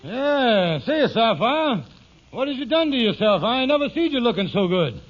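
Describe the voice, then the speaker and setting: Pompous high-voiced radio sitcom schemer. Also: male voice, big pitch swings, moderate pace, exaggerated voice. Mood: mocking, amused. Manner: pompous malapropisms, folksy ungrammatical speech. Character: scheming, lazy, self-important husband, a wisecracking schemer. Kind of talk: old-time radio sitcom acting. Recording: dull, noisy vintage radio recording.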